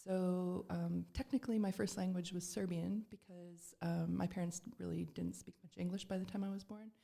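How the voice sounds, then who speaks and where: Middle-aged woman guest, low, dark mellow voice, rambling storyteller. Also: flat delivery, normal speed, creaky. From Canada. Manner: chatty storyteller with many fillers and restarts. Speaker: podcast guest. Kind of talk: casual chat.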